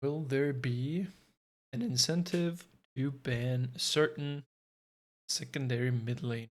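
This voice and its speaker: A man's voice: mid-range voice, takes it slowly. A man giving casual live commentary on an esports match from his home setup, thinking aloud and adding self-deprecating asides. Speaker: esports co-streaming caster.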